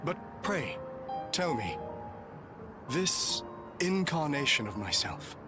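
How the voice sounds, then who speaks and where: An actor playing a noble, grieving knight in a fantasy game, with formal archaic diction and an emotional, halting delivery. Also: male voice, fairly high pitch, big pitch swings, music in the background. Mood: bewildered, searching. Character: noble, grieving old-fashioned knight, earnest and courtly. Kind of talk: theatrical fantasy game voice acting.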